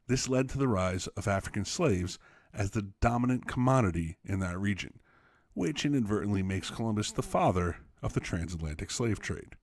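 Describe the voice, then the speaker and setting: A man reading an essay aloud as a teacher, expressively, with emphatic, deliberate phrasing. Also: lowish voice, lively intonation, dry recording, clean studio sound. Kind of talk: expressive read-aloud narration for students.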